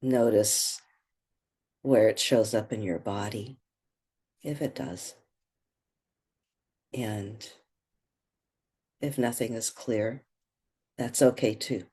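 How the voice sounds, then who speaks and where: A meditation guide speaking gently and without hurry, leaving pauses between short phrases. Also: mid-range voice, steady tone, breathy edge.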